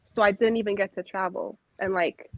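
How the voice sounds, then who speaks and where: A woman in her twenties talking as a guest in a relaxed, laid-back way, laughing easily. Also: mid-range voice, brisk, no room sound. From USA. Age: 20s. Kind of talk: casual chat. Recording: dull lo-fi dry sound.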